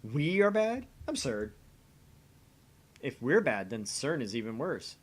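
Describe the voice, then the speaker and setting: Man acting a very animated, pompous young schemer. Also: mid-range voice, slow pace, breathy edge, full character voice. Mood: grandiose. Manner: grandiose declarations, quieter asides as narrator. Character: theatrical, pompous young self-styled mad scientist, inwardly anxious. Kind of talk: visual novel voice acting and narration.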